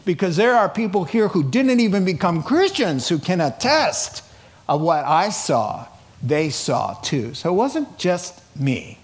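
A middle-aged man preaching a sermon in a conversational, informal way, animatedly telling stories and acting out dialogue. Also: high-pitched. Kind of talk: sermon, reading scripture and retelling it in colloquial, dramatized asides.

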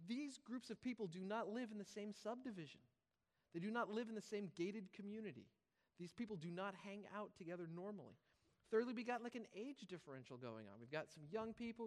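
A high-pitched male voice rings through a reverberant hall. He is a pastor delivering an informal, conversational sermon to his congregation, often restating key phrases to make them land. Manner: repeats key phrases for emphasis. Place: large reverberant room, preaching live to congregation. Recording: hall reverb, live preaching.

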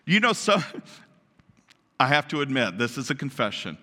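A man preaching a sermon to his congregation, naturally loud and emphatic, often asking them questions. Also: medium pitch, very animated, moderate pace. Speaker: pastor. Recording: long reverb of a large hall.

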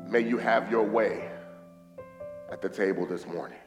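Hoarse pastor in an echoey hall. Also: male voice, fairly low pitch, moderate pace. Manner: fervent preaching, prayer in a hushed voice. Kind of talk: sermon. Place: church hall. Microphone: stage microphone. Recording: echoey hall with faint guitar music.

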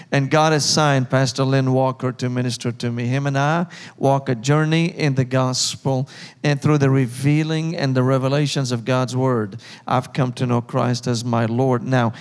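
A middle-aged man from Syria, giving an earnest, fervent personal testimony as a guest speaker in a church. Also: medium pitch. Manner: earnest, building to fervent emphasis. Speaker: ministry leader and guest speaker. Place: church sanctuary. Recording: reverberant church hall.

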